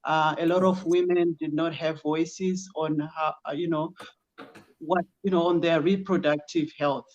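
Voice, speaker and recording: Zimbabwean woman guest, talks slow with lots of ums, kinda dull lo-fi sound. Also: low voice, steady tone, a little mellow. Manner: slow, measured, with many ums and uhs. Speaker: guest, project founder. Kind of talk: casual interview answers.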